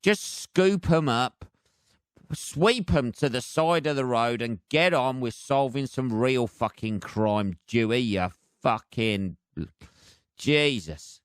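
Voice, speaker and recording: A man's voice: mid-range voice, slow pace, smooth, no echo, clean studio sound. A British man hosting a solo comedy podcast, ranting casually in a sweary, sardonic, rambling way. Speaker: podcast host.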